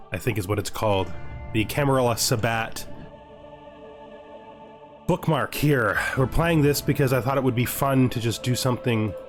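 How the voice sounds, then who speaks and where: A man giving casual, rambling let's play commentary as a gaming host, often tagging on a "right?". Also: medium pitch. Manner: chatty, rambling, checks in with "right?". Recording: music behind the voice.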